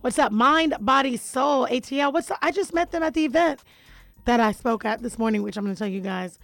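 A woman hosting a radio show in casual chat, with a deep raspy voice that is a little hoarse today, and an animated way of giving shout-outs to listeners. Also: fairly quick, dry recording, faint music behind. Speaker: radio host.

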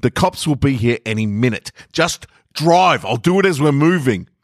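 A man's voice: mid-range voice, expressive, normal speed, dry recording, clean studio sound, pitched above the performer's own voice. A man voicing a bossy, foul-mouthed bank robber in a story, impatient and snapping orders. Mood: frantic.

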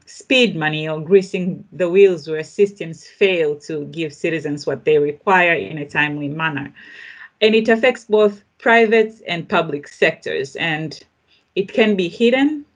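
Low-pitched woman hosting a podcast. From Tanzania. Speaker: podcast host. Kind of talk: podcast introduction and commentary.

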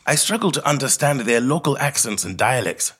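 An actor playing a reflective middle-aged Zimbabwean man telling his life story in a measured, earnest monologue. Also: mid-range voice, lively intonation, fairly bright tone, breathy edge. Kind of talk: scripted autobiographical monologue, storytelling.

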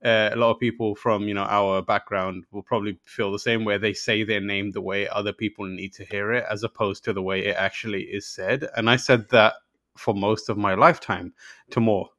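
A man tells stories in a low voice, laughing as he goes and acting out the people in them. The sound is dry and close, with no room around it. He speaks as a relaxed podcast guest. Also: brisk, no echo. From Pakistan. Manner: laughing storyteller, mimics voices in anecdotes. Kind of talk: casual chat.

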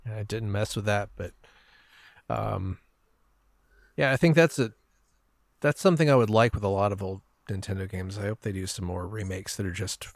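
A man's voice: lowish voice, normal speed, gravelly, no echo, studio quality. A man talking casually as a panelist in a podcast discussion.

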